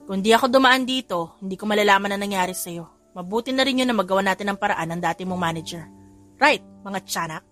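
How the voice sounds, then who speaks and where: A woman's voice: medium pitch, fast talker, fairly bright tone, natural voice. A cool, teasing rich boss and dad in a romance audiobook, read by a woman in her own register. Music plays faintly behind.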